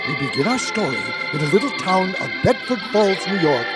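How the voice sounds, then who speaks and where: A man's voice: high voice, very animated, fairly quick. A man playing a genial, theatrical old-time radio announcer narrating a story in a folksy way. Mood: genial, storytelling. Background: music.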